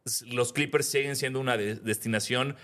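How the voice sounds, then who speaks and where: A Mexican man with a mid-pitched voice chats about sports on a podcast. He is informal and slangy, trading casual banter as a co-host. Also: fairly even intonation, moderate pace, a bit bright.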